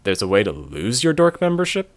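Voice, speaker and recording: A young actor playing a dorky, soft-hearted, melodramatic guy in a romantic comedy audio drama. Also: mid-range voice, wildly swooping pitch, fairly quick, no echo. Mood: playful, melodramatic. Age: young adult. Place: bedroom. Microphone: close desk mic with pop filter.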